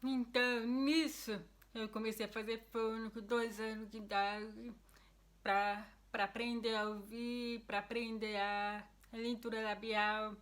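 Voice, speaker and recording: Young deaf Brazilian woman vlogger, higher, flat-toned delivery, earnest and explanatory. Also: a little slow. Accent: Brazilian Portuguese. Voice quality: deaf speech, heard by others as a foreign-sounding accent. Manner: earnest, explanatory, with frequent restarts. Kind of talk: casual personal explanation to camera.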